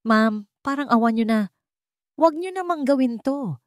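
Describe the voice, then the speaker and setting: A woman's voice: high voice, animated, normal speed, no echo, studio quality, pitched above the performer's own voice. A woman voicing a poor, desperate young mother in a storybook romance drama, pleading emotionally.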